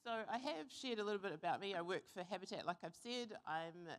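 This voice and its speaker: A young woman from New Zealand giving an earnest, reflective conference talk, pausing with fillers now and then. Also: mid-range voice, lively intonation. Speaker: conference speaker. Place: conference hall.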